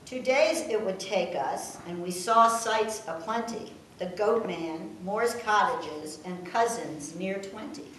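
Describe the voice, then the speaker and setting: An older woman reading a rhyming tribute poem aloud with a measured, sing-song delivery. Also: medium pitch, a bit bright. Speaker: family member reading her own poem. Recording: echoey room, distant mic, faint noise.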